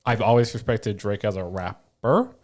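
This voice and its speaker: A young man co-hosting a podcast in casual chat, animated and rambling, full of fillers. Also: fairly low pitch, moderate pace. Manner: animated, talks in long run-on explanations with many fillers. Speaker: podcast co-host.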